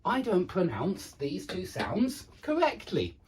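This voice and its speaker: An English teacher with a husky voice gives a pronunciation lesson. The pitch swings around freely while examples are repeated slowly and carefully, in a frank, self-deprecating way. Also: wildly swooping pitch. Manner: self-deprecating, frank about own difficulty; careful, repetitive enunciation of examples. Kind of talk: instructional lesson with demonstrated sounds.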